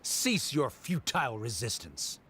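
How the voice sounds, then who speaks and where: An actor playing a haughty scholar in a video game, speaking in a formal, condescending way. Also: male voice, medium pitch, very animated, a little slow, noisy background. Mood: contemptuous. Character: Sharif, haughty male academy scholar and accuser. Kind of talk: English video game voice acting.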